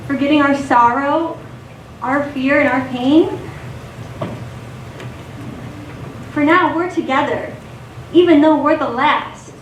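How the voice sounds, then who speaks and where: Woman actor as a wistful young poet in a radio play: high voice, unhurried verse, roomy theater. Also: dull audio. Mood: resolute, hopeful. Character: poetic, wistful young female voice musing on life. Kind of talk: slow rhyming verse recitation in stage radio theatre. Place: theater stage, recorded from a distance. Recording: echoey theater, distant mic, faint noise.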